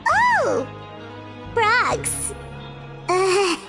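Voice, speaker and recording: Squeaky, very high, excitable childlike companion. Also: female voice, extremely slow, exaggerated voice. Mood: uneasy, reluctant. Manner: expressive, hesitant, wry. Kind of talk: video game voice acting. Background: background music.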